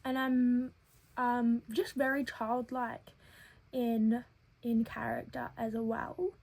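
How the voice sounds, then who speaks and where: Young woman, childlike head voice, a little high and breathy, earnest personal vlog. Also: level tone, a little mellow. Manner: earnest, thoughtful, with frequent filler pauses. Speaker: web series creator.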